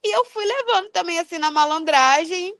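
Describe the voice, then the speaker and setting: This is a Brazilian woman with an accent from outside Rio and a very high voice, recorded close and dry. She speaks as a guest in casual conversation, telling personal stories. Her delivery is animated and full of slang. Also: normal speed, dry recording. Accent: Brazilian, non-Rio. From Brazil, outside Rio de Janeiro. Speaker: podcast guest, funk singer. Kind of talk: casual chat, personal storytelling.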